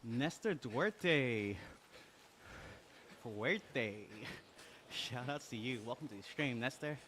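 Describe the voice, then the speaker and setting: An Australian man chatting on a live stream as he rides an indoor bike. His voice sits at an ordinary middle pitch, friendly and upbeat, and he laughs easily between comments. A faint hum of background noise sits under the voice. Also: expressive, slow, fairly bright tone. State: exercising on an indoor bike while talking. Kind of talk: casual live-stream chat, reading viewer comments.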